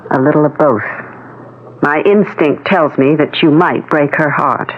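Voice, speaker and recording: An actress playing a composed but jealous middle-aged wife, knowing and foreboding, in a 1970s radio drama. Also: female voice, low voice, a little slow. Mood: grave foreboding. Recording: thin, narrow-band 1970s radio recording.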